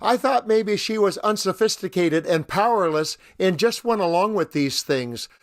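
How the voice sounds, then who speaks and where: A man with a slightly rough voice hosting scripted political commentary in a sarcastic, mocking manner. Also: high-pitched, lively intonation, normal speed, no echo, clean studio sound. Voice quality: somewhat rough voice, as the speaker says. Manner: wry, mocking. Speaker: commentary host.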